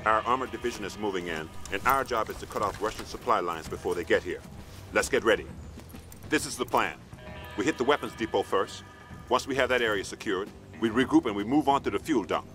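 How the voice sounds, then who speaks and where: A man playing a gruff, weary army sergeant in a video game, commanding and sardonic. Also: lowish voice, a little fast, pushed and bright, soft background music. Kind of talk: video game military acting.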